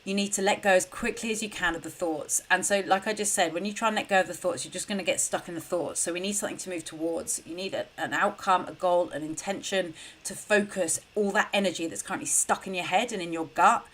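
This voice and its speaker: A woman's voice: lowish voice, fast, hardly any pauses, fairly bright tone, low-quality recording. A young adult woman, hosting a solo podcast and giving earnest, conversational advice with frequent fillers. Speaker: podcast host and coach.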